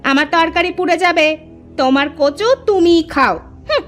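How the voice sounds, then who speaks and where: A woman voicing a sharp-tongued village housewife in a cartoon, with a theatrical manner. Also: very high voice, moderate pace, faint music behind. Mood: scornful, nagging. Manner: scolding, theatrical. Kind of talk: Bengali cartoon voice acting.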